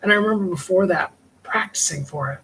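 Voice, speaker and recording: Man, middle-aged, light tenor voice, a touch high for a man, rambling heartfelt livestream chat, faint room ambience. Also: quick pace, vocal fry, bright, forceful tone, a little hiss or hum, poor audio. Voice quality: higher-pitched tenor voice. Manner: rambling and heartfelt, with frequent "you know" and small laughs. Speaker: livestream host and singer. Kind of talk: reflective personal livestream talk.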